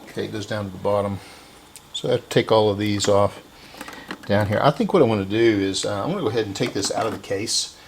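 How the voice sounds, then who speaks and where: A man giving casual, unscripted narration of hands-on repair work, halting and thinking aloud as he goes. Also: lowish voice, background noise. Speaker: repair video host.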